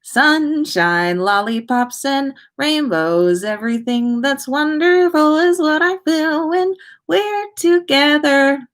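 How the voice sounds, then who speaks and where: A woman's voice: sits high, animated, slow, soft background music, voice pushed up. A woman voicing a cheerful, whimsical old male wizard headmaster with a polite, theatrical, sing-song delivery, in a storybook reading. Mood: gleeful singing.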